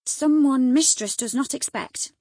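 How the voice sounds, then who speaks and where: A woman's voice: high voice, measured pace, warm tone, no room sound, pitched above the performer's own voice. A woman voicing a respectful but firm female housekeeper in an audiobook, cautioning her betters. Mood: guarded. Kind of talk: audiobook character acting.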